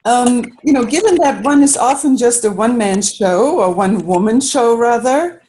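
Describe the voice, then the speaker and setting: Woman from Germany, small-business caller, thoughtful and hesitant, pauses to find words. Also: a little high, animated, warm tone, slight background noise. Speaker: interior designer, caller. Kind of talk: casual question on a group call.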